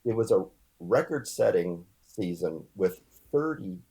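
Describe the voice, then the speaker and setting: A man from California, a research scientist guest, speaking in a measured way with occasional fillers. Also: sits low, expressive, dark tone. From California's San Joaquin Valley. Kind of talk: podcast interview answer.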